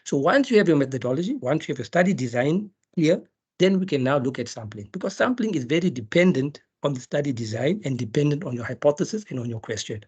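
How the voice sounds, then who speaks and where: A man's voice: on the high side, lively intonation, quick pace, darkish tone, slightly rough. A middle-aged man from South Africa, a dental researcher, giving a chatty webinar lecture full of rhetorical questions and light jokes. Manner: chatty, jokey teacher, asks rhetorical questions.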